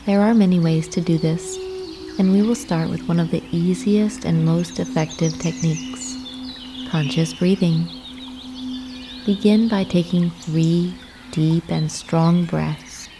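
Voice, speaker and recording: A woman narrating a guided meditation, giving gentle, soothing instructions with unhurried pauses. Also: on the low side, fairly even intonation, noisy background. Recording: clear nature ambience with birdsong. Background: birdsong and nature ambience.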